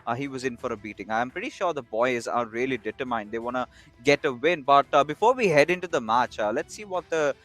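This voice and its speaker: A man commentating on an esports football tournament, stammering and filling pauses with "uh" as he previews matchups. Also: medium pitch, lively intonation, fairly bright tone, soft background music. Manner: stammering, filler-heavy delivery. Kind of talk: casual commentary.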